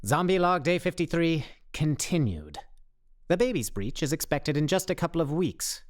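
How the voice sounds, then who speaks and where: An actor playing a pompous, verbose young oddball who treats everything as an official survival log in a radio play. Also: male voice, a little high, very animated, normal speed. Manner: mock-official, verbose, self-important.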